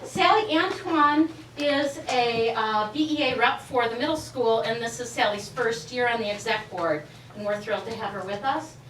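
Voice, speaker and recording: A woman's voice: a little high, normal speed, noisy background. A woman giving an enthusiastic address at a school board meeting, introducing her colleagues one by one. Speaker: teachers' union president. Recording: echoey room from far off.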